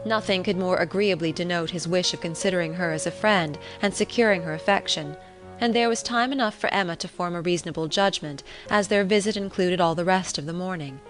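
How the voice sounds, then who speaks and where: A woman reading a classic novel as an audiobook narrator, in a measured, formal manner. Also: lowish voice. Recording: relaxing music behind the voice.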